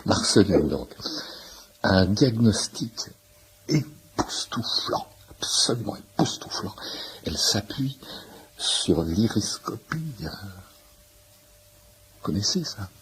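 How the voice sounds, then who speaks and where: A man's voice: fairly low pitch, very animated, slow, pauses often, a bit bright, whispered, heavily acted, low-quality recording. A man playing a talkative, nosy shopkeeper who eagerly explains homeopathy in a pedantic way, in a French radio play. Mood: admiring, confiding. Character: chatty, nosy shirt-shop owner, amateur homeopathy enthusiast in waiting room. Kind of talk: naturalistic French radio comedy-drama acting.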